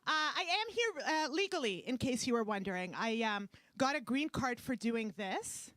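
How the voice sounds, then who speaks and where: A woman's voice: a little high, big pitch swings, moderate pace, fairly bright tone, no room sound. A woman from Canada with a Brooklyn-sounding accent, performing a stand-up comedy set on a club stage, delivering brash, self-mocking punchlines into a handheld mic. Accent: Brooklyn-sounding English. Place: club stage before a live audience.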